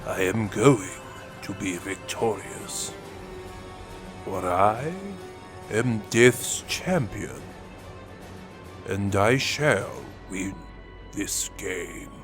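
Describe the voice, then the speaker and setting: A man playing a villainous, grandiose alien warlord in an audio drama monologue, with theatrical pauses and menacing, hushed drops and swells. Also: on the low side. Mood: gloating resolve.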